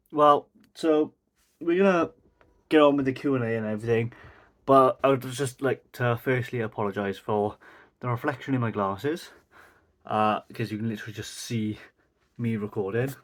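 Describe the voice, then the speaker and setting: A man's voice: mid-range voice, fairly bright tone. A Welsh man in his twenties from South Wales, rambling through a casual Q&A vlog to a mic and camera with lots of fillers. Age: 20s. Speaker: vlogger. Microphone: mic facing camera.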